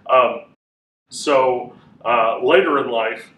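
A man in his thirties teaching a class in a casual, chatty way, with a loud voice that carries and many fillers. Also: medium pitch, slow. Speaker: Bible teacher. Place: classroom with a whiteboard, indoors. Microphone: room mic from a fixed camera. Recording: echoey room, voice a bit far off.

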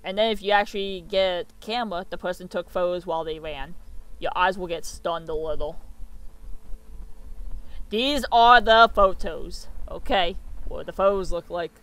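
A man's voice: high voice, normal speed, a bit bright, clean tone, poor audio. A young man giving casual reaction commentary and reading captions aloud, with muttered asides, on a close mic in a small room. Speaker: reaction video host.

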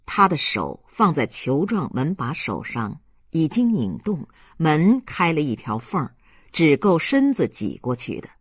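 A man's voice: fairly high pitch, huge pitch swings, a little slow, husky, dry recording, clean studio sound. A man narrating a literary audiobook in a dramatic storytelling style.

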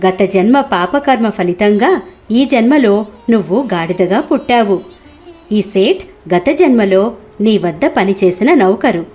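A woman's voice: mid-range voice, animated, takes it slowly, darkish tone, soft background music. A woman voicing a compassionate, all-knowing holy man who solemnly explains things in a cartoon moral story. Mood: solemn, explaining.

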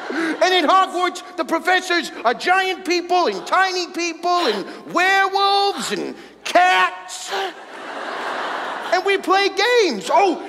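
A man's voice: very high voice, big pitch swings, takes it slowly, background noise, full character voice. A man playing a flamboyant, exuberant wizard mentor in a musical theatre reading, talking in a gushing, playful, theatrical way. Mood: gleeful. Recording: hall reverb, loud music.

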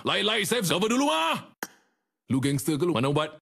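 An actor with a Malaysian accent playing a cocky, swaggering comic street gangster in game voice clips. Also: male voice, high voice, big pitch swings, a little fast, gravelly, fairly bright tone, slight background noise, full character voice. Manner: swaggering, exclamatory. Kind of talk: game voice pack catchphrases.

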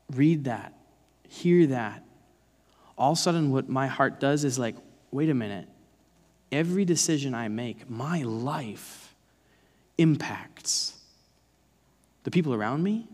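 Male preacher, thirties, mid-pitched, conversational but emphatic, big-hall reverb. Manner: emphatic, dramatizes points with rhetorical questions. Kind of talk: conversational sermon. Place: large dark church stage, indoors.

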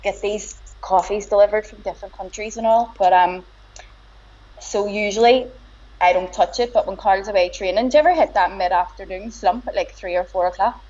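A woman's voice: medium pitch, a little fast, a little mellow. Easygoing Belfast woman on a video call. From Northern Ireland. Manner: easygoing, laughs often. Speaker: guest. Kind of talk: casual video-call chat. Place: room at home, indoors. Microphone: laptop or phone camera on a video call. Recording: dull lo-fi video-call audio.

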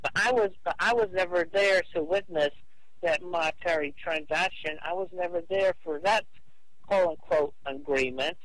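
A man on a phone call arguing his case in a halting, repetitive, insistent way. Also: sits high, a bit bright, dry recording. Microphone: telephone call.

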